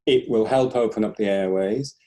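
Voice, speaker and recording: A man's voice: on the low side, normal speed, dark tone. A man, a breathing instructor, explaining carefully in a conversational interview and hedging his claims.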